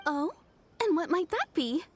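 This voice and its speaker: An actress playing a friendly, polite fabric shop owner in a video game, eager to help. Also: female voice, very high voice, sing-song, measured pace, a little hiss or hum, heavily acted. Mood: welcoming. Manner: polite, helpful. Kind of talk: scripted video game voice acting.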